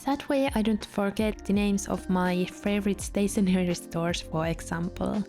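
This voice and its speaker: Finnish woman chatting over her journal video, mellow voice, quiet music behind. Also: medium pitch, normal speed. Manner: chatty, light laughs. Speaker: journaling vlogger. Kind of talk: scripted voice-over narration.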